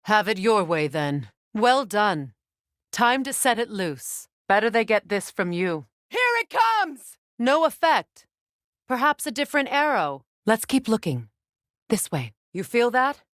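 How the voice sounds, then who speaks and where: A woman playing a proud, guarded warrior goddess in a video game, speaking in a measured, commanding, solemn manner. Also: medium pitch, big pitch swings, fairly bright tone, dry recording, studio quality. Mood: calm, practical, then urgent, shouting. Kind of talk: dramatic video game acting.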